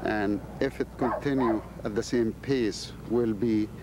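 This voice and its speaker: A man giving a measured interview answer for a news report as an official. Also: lowish voice, fairly even intonation. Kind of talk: interview sound bite. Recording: very noisy field interview.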